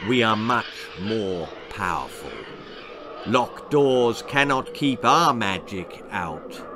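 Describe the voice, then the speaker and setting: A man's voice: on the low side, expressive, slow pace, background noise, pitched below the performer's own voice. A man voicing a savage male leader of troll beasts, snarling and growling with menace for a storybook.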